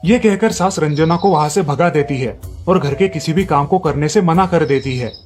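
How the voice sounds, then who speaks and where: A man's voice: on the high side, moderate pace, a little mellow, faint music behind. A man reading scripted narration for a moral family story.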